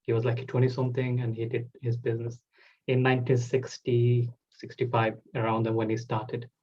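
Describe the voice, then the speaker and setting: A man's voice: mid-range voice, completely flat delivery, normal speed, warm tone, dull audio. A man, hosting a casual interview and talking loosely with many "like" fillers. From Bangladesh. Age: 20s. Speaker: host.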